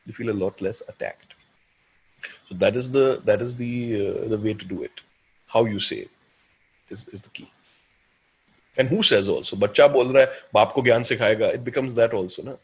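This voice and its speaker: Fast-talking Indian man with a husky voice. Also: low-quality recording. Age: 30s. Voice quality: deep. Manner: animated, acts out imagined dialogue. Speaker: guest speaker and counselor. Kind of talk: informal webinar Q&A, giving advice.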